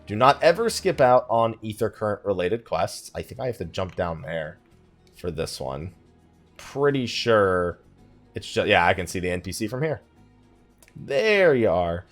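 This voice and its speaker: A man hosts a casual let's play in a slightly low voice. His pitch moves around a lot as he chats and thinks aloud in a loose, rambling way. Faint music plays behind him. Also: big pitch swings, measured pace. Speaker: gaming YouTuber, let's play host.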